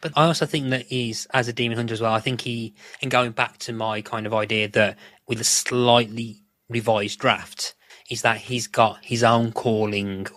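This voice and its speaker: A man's voice: medium pitch, steady tone, moderate pace, a bit bright, dry recording. A British man hosting a podcast, casually discussing a television episode. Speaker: podcast host.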